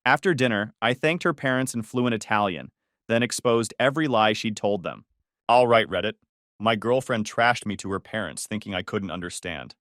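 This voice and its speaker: A man's voice: on the low side, animated, moderate pace, a bit bright, no room sound, studio quality. A man in his twenties narrating his own story in a sarcastic, wry tone. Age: 20s. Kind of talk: scripted first-person story narration.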